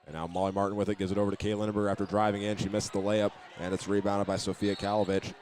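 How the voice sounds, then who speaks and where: A man doing live play-by-play of a high school basketball game, sometimes restarting his phrases, with the sounds of the game behind him. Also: little pitch movement, rapid-fire, a little mellow, a little hiss or hum. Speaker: play-by-play announcer.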